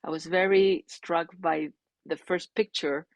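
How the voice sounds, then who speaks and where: A woman's voice: on the low side, fairly even intonation, unhurried, no room sound. A woman whose first language is Spanish, a Zen priest asking a question, searching for words with many fillers. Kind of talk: remote Q&A question.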